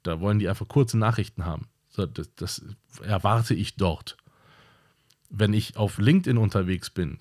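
Blunt, low-voiced male podcast host. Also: a little mellow. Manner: blunt and direct, repeats himself for emphasis. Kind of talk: casual podcast monologue.

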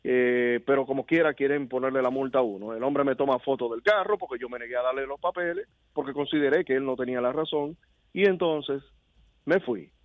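A man's voice: medium pitch, fairly quick, no room sound. A man with a Dominican accent calling a radio show over a phone line, casually telling a story. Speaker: radio caller. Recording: thin, narrow phone line.

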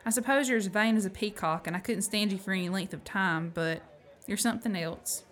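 A woman's voice: mid-range voice, fairly quick, close to an everyday voice. A woman acting a plucky, frank young woman in an amateur radio play. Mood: earnest, sympathetic. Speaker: student actor.

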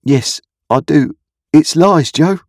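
An anxious, humble country boy acted by a man reading a storybook, confessing earnestly and miserably. The voice is lifted above the reader's own, a little husky, and very close and dry. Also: fairly high pitch, slow, darkish tone, no room sound, voice pushed up. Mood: guilty, miserable.

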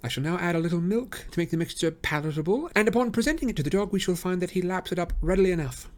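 A man reading aloud and voicing a brilliant, eager male detective in a raised, excited tone. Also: fast. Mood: brisk, confident. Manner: excited, exclamatory, raised high voice.

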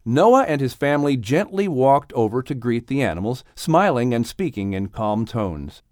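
Animated male audiobook narrator. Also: medium pitch, moderate pace, no echo, studio quality. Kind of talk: expressive storybook narration.

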